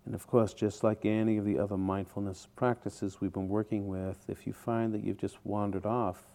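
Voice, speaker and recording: A man teaching a guided meditation in short, deliberate phrases with pauses between them. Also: fairly low pitch, level tone, warm tone.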